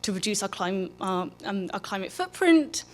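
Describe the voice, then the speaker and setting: Female lecturer, informal and halting, interrupts herself, addressing a public event on a microphone. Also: mid-range voice, sing-song. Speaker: public programs manager and lecturer. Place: live public lecture at an aquarium. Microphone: microphone for live streaming.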